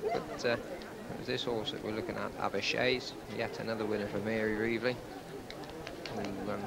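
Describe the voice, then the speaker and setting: A man's voice: medium pitch, creaky. A man giving unscripted racing replay commentary and chat in an understated, hesitant way. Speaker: horse racing presenter and analyst. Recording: busy outdoor racecourse crowd behind.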